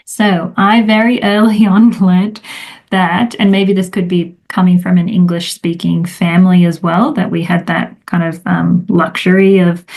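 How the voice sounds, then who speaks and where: A woman's voice: on the low side, a little mellow. A middle-aged woman, a time management coach, chatting casually as an interview guest, rambling with many fillers.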